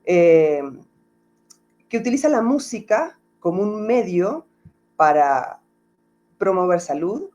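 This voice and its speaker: Woman, Argentine Spanish accent softened by Mexico, music therapist guest on a video call; thoughtful, searching for words. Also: medium pitch, sing-song, slow pace, pauses often, highs cut off. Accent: Argentine Spanish, softened toward Mexican. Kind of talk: reflective interview answers. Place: home in Mexico.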